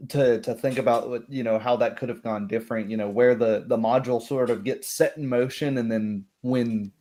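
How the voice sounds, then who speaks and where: A man with a mid-range voice talks through a tabletop roleplay session as its game master. His delivery is conversational and halting, as if he is working out his thoughts while he speaks. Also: medium pitch, fairly even intonation, dark tone. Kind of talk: casual discussion of a roleplay session.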